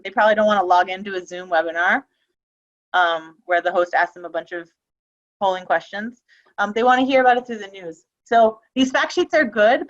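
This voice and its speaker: A woman's voice: mid-range voice, fairly bright tone. A woman talks through her slides on a video call in a loose, conversational way, stopping now and then to restart a sentence. Her voice sits in the middle of the range. The audio is a little dull and muffled. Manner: conversational, with frequent ums and restarts. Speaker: webinar presenter on elder abuse prevention. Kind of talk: casual webinar presentation.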